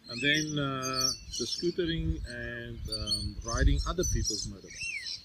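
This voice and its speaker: A man's voice: mid-range voice, huge pitch swings, a little slow. An older man with a South African accent co-hosting a casual chat at a campsite, talking loosely with lots of ums and laughs. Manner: chatty, many ums and uhs, laughs often. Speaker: podcast co-host. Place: outdoors at a campsite. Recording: loud outdoor background noise.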